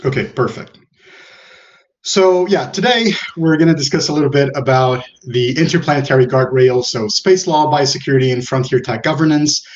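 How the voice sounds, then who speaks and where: A man from Brazil giving an online talk as the guest speaker, relaxed and often correcting himself as he goes. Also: mid-range voice, normal speed, lo-fi sound, slight background noise. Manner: relaxed, self-correcting, a few laughs. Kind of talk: online presentation.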